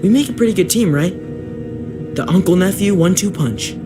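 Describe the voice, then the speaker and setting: An actor playing an anxious, loyal teenage nephew in a video game drama. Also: male voice, sits high, expressive, moderate pace, over music. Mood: earnest, hushed.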